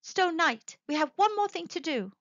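A woman voicing a young male stonecutter, speaking in a high, earnest way with a stammer at times, on a dull, dry recording. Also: lively intonation, normal speed, a bit bright, no echo, heavily acted. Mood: resolute. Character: young male stonecutter, eager then anguished.

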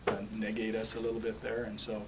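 Halting, lower-voiced coach at a press table. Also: male voice, completely flat delivery. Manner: halting, repeats words while searching for phrases. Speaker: head football coach. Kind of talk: postgame press conference answers. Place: small press room, in front of a logo backdrop. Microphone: table microphone on a stand. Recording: distant mic, audible room noise.

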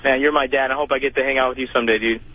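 A man's voice: mid-range voice, steady tone, very fast, slight background noise. A man calling a radio show, chatting in a casual, slangy, informal way over the phone. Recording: tinny phone line on radio.

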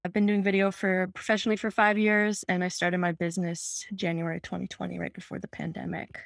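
A woman's voice: fairly low pitch, level tone, a little fast, vocal fry, dry recording, clean studio sound. A young woman from Minnesota giving a friendly, explanatory webinar presentation over a video call.

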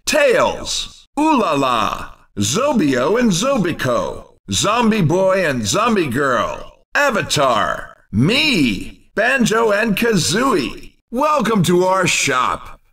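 A man voicing an upbeat, excitable racing video game announcer with a punchy, showy, cheerful delivery. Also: high voice, takes it slowly, slightly rough, distant mic, heavily acted. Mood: bold announcing. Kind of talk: video game announcing, race commentary and shop greetings.

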